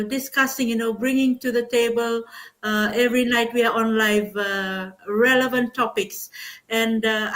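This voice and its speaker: An older woman from Fiji speaks informally in an online group session. Her voice is fairly high and she sounds enthusiastic, though her phrasing often halts as she gives her testimonial. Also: a bit bright. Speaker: panel speaker, business mentor.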